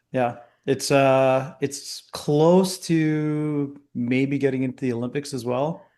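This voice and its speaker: Canadian guy chatting on a podcast, laid back, lots of uhs, middle pitch. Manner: relaxed, rambling, frequent "uh" fillers. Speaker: podcast guest, coach. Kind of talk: casual interview.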